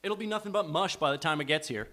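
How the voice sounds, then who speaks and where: A man's voice: on the high side, fast, sharp tone. A man playing a brash, dismissive, macho barroom buddy in a live sitcom table read. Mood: brash, scornful.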